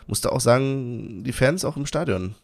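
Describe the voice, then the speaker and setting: A man from Berlin with a gravelly, fry-heavy voice chats about football. He teases and jokes with his co-host. His voice sits in a middle range, and the recording is dry. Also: medium pitch, lively intonation, normal speed, darkish tone, no room sound. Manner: teasing, joking. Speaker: podcast co-host. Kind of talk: casual chat about football.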